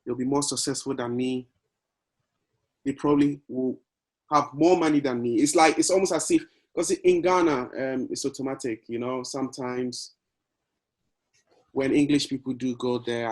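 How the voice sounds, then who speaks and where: A man in a relaxed podcast conversation who mulls things over out loud. He speaks in an ordinary, mid-range voice and often ends with a question to his partner. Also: medium pitch, moderate pace, long pauses. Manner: reflective, talks things through and asks questions. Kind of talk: casual conversation.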